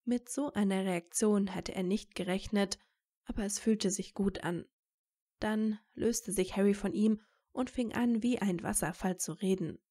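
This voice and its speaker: A woman's voice: mid-range voice, measured pace, mellow. A woman reading scripted narration as the narrator of a fan fiction story.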